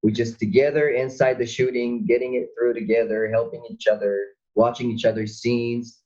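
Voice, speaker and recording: Halting male guest on a muffled line. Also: medium pitch, little pitch movement, dark tone. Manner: halting, searching for words. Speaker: actor and guest. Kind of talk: casual conversation.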